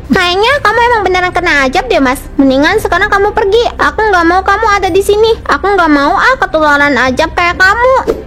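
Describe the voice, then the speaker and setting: A woman's voice: very high-pitched, expressive, a little fast, fairly bright tone, a little hiss or hum. A woman voicing a young mistress who nags and accuses her lover. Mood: scared, harsh. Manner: nagging, accusing. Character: young mistress, suspicious then spooked and harsh.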